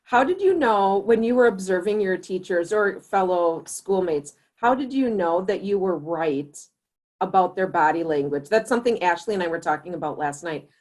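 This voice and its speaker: A woman's voice: lowish voice, animated, normal speed, a little mellow. A woman co-hosting a podcast, upbeat and direct as she asks the guest questions. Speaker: podcast co-host. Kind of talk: casual interview hosting.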